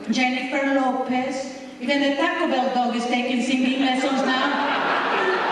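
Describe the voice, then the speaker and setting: A woman from Nicaragua with a Nicaraguan Spanish accent, performing a brash, teasing stand-up comedy set in front of a live audience. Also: high voice. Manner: brash, teasing, confessional punchlines. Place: large hall with live audience. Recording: distant, echoey home VHS tape of a live hall.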